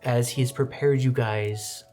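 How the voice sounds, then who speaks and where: A man's voice: medium pitch, steady tone, a little slow, warm tone, soft background music. A man running a tabletop game, narrating dramatically with casual, improvised asides. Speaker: game master.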